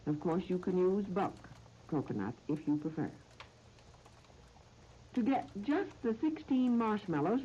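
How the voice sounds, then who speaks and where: An American woman hosting a 1930s radio cooking show, reading scripted recipes with deliberate, careful enunciation on an old broadcast recording. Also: mid-range voice, dark tone, lo-fi sound, a little hiss or hum.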